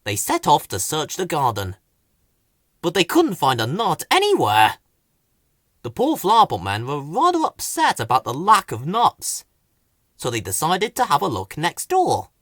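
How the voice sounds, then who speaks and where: Male audiobook narrator, children's story, unhurried, highly expressive pitch, dry close sound. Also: dry recording. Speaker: audiobook reader.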